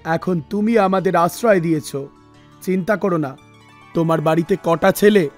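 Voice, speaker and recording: A woman voicing a solemn male wandering holy man in a measured, formal way, for a cartoon devotional story. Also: on the low side, darkish tone, pitched below the performer's own voice, lightly acted. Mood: solemn, reassuring. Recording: music bed under the voice.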